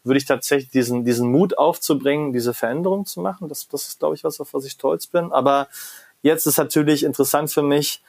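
Husky, reflective German professor. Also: male voice, on the high side, a little fast. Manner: reflective, animated, frequent "ja?" and "ne?" tags. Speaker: guest, professor of creativity and design. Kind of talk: conversational interview answers.

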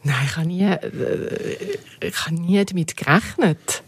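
A woman's voice: on the low side, expressive, slow pace, slightly rough, no room sound. A woman with a Swiss German accent, talking informally and reminiscing as an interview guest. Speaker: interview guest, radio journalist.

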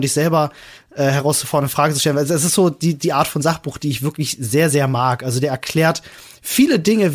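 Husky, higher-pitched man chatting on a podcast. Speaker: podcast host. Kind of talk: casual podcast chat.

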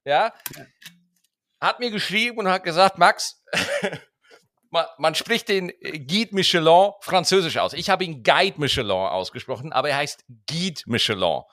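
A man with a rolled R, co-hosting a podcast in casual chat, playful and quick to laugh. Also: fairly high pitch, no room sound, studio quality. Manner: animated, playful, laughs often. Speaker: podcast co-host. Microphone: studio microphone.

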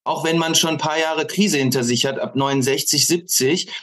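A man speaking as a podcast guest in analytical political discussion, choosing academic words deliberately, with hesitant pauses. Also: fairly high pitch, a bit bright.

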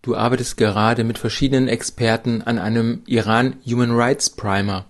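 A man asking interview questions in a calm, measured way as podcast host. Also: medium pitch.

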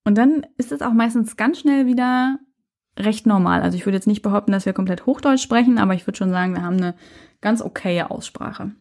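A woman's voice: medium pitch, brisk, creaky, a little mellow. A woman from Saxony-Anhalt hosting her own podcast, talking alone in an earnest, thoughtful way with frequent hesitations. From Saxony-Anhalt, Germany. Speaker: podcast host. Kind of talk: reflective solo podcast monologue.

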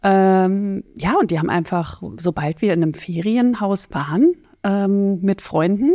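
A middle-aged woman from North Rhine-Westphalia, speaking casually as an interview guest, with many filled pauses. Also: medium pitch, dark tone, dry recording, studio quality. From North Rhine-Westphalia, Germany. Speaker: podcast guest, finance coach.

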